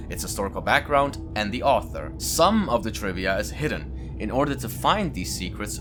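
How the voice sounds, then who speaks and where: A man with a fairly low voice hosts a gaming video over clearly audible music. He is a non-native English speaker, casual and chatty, moving between reading aloud and offhand jokey remarks. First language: not English. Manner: informal, chatty, jokey asides. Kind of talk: casual let's-play commentary and reading aloud.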